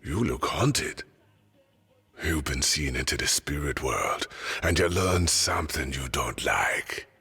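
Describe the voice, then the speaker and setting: Extremely deep, rasping alien mystic. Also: male voice, big pitch swings, bright, forceful tone, heavily acted. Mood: ominous, brooding. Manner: ominous, terse, deliberate. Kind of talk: game cutscene acting. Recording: long hall-like reverb.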